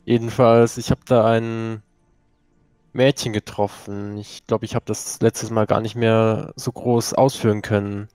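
A man's voice: fairly low pitch, flat delivery, unhurried, a little mellow, clean tone, dry recording, soft background music. A man playing a tabletop game, talking casually in character in a rambling, tentative way.

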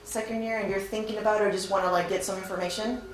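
A woman's voice: medium pitch, steady tone, rapid-fire. Chatty woman in an echoey classroom. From born in Venezuela, raised in Spain. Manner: upbeat, chatty, asks the audience frequent questions. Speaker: recruiter presenting to students. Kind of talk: informal presentation to a class. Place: classroom with seated students. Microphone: far camera, no visible mic. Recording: very echoey classroom, faint noise.

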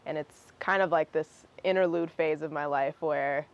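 A woman's voice: sits low, fairly even intonation, normal speed, lo-fi sound. A young woman, a singer whose first language is Russian, answering interview questions casually outdoors, restarting her sentences and laughing between phrases. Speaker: guest singer. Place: outdoor terrace with garden.

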